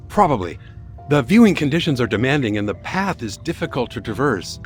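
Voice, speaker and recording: A man's voice: medium pitch, big pitch swings, brisk, faint music behind, natural voice. An actor playing an old male miner who works as a tour guide in a game, speaking in a matter-of-fact, cautionary manner. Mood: matter-of-fact, cautioning. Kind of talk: scripted game voice acting.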